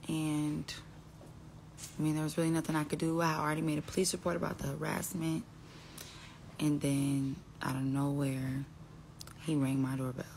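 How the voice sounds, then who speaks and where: A woman's voice: deep voice, little pitch movement, moderate pace, vocal fry, long pauses, darkish tone, slight background noise. A young woman talking casually into her phone on a live stream at home, rambling and trailing off as she speaks. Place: indoors at home, phone selfie. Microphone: phone held close.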